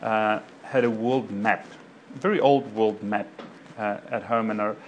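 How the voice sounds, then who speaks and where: A man's voice: fairly low pitch, fairly even intonation, a little slow, slight background noise. A man from Africa, a doctor giving an informal personal talk to a local audience. He rambles, with many fillers and self-corrections. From South Africa. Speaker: doctor speaking to a local community group.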